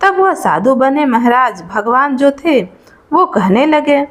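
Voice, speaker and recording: Slow, high-voiced woman storyteller. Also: very animated. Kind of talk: narrated Hindi devotional folk tale, informal oral storytelling.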